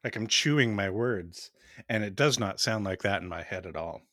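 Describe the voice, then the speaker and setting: A man hosting a podcast in casual chat, with a smooth voice and a rambling, laughing way of talking. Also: on the low side, lively intonation, normal speed, fairly bright tone, dry recording, studio quality. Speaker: podcast host.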